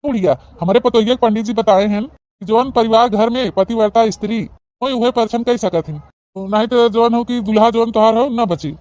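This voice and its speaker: A man's voice: very high-pitched, fairly quick, darkish tone, no echo, lo-fi sound. A man voicing a bossy, grumbling old village father-in-law in a comedy cartoon, scolding with an Awadhi accent. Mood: commanding. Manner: scolding, blustery.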